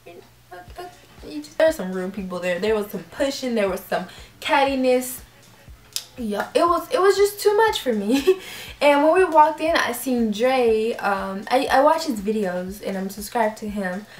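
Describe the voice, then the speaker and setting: A young woman vlogger telling a story casually, acting out her own reactions with lively quoted voices. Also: a little high, normal speed. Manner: animated, acts out quoted reactions. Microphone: camera mic. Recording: roomy echo.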